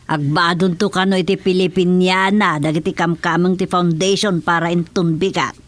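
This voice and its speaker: A woman's voice: lowish voice, steady tone, normal speed, lightly acted. Chatty older Ilocano village wife played by a woman. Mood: questioning, nagging. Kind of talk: Ilocano radio drama comedy acting.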